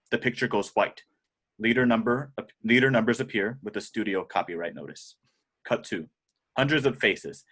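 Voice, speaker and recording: A man's voice: fairly low pitch, fairly bright tone. A man reading the action descriptions of a screenplay as scripted narration in an amateur table read. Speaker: reader of stage directions.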